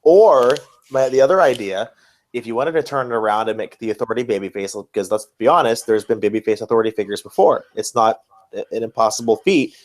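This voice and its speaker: A man's voice: medium pitch, fairly quick. A man co-hosting a podcast, casually discussing wrestling in informal, slangy language with some swearing. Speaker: podcast co-host.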